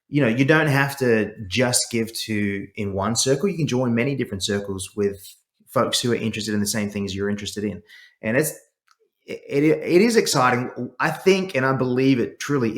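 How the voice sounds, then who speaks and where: Middle-aged Aussie man, low-pitched, eager guest in an echoey room, stumbles into his points. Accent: Australian. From Australia. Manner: passionate, repeats words when starting sentences. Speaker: guest, startup CEO. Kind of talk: earnest interview conversation.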